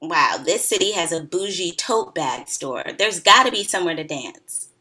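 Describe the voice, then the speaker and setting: A woman's voice: fairly low pitch, animated, moderate pace, pushed and bright, slightly rough, exaggerated voice, rough recording. A woman playing a wry, joking wedding friend in a naturalistic comedy table read.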